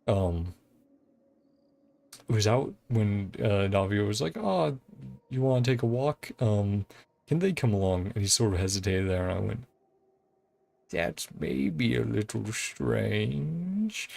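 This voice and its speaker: A man's voice: on the low side, animated, takes it slowly, creaky, pauses often, darkish tone. A man giving casual game playthrough commentary, reading dialogue aloud and rambling hesitantly as he thinks aloud. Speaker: game streamer.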